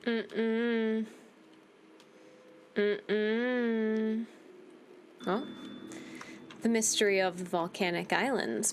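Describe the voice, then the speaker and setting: Woman gamer with faint background noise. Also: on the high side. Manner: informal, reacts aloud to the game. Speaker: gaming video host. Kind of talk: casual playthrough commentary and reading on-screen text.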